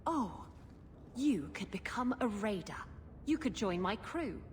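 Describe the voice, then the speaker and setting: A woman's voice: mid-range voice, big pitch swings, measured pace. A woman playing a saucy, teasing female pirate captain in a video game, with a breezy delivery. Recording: long hall reverb, faint noise.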